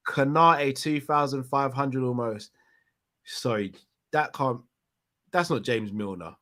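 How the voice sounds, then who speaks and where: Male co-host on a live fan call-in, casual, reads viewer comments aloud, mid-pitched voice. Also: slow, pushed and bright. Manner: reads out viewer messages, laughs often.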